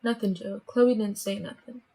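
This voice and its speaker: A placating, timid member of a gangster's party, acted by a young woman. The voice is mid-pitched and mellow, in a stage radio play with faint noise. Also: fairly even intonation, normal speed, natural voice, rough recording. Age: teenager. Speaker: student actor.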